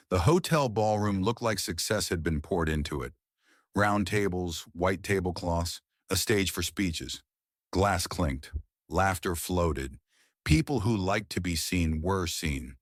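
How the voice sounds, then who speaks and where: A man's voice: low voice, no echo, studio quality. A man reading a scripted dramatic story as its narrator.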